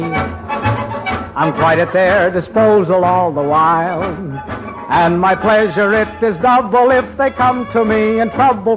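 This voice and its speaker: An actor playing a breezy, girl-chasing bachelor sidekick in a vintage radio musical comedy. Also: sits high. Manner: breezy, joking, theatrical. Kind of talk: 1940s-50s radio musical comedy acting. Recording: dull old radio recording, music behind.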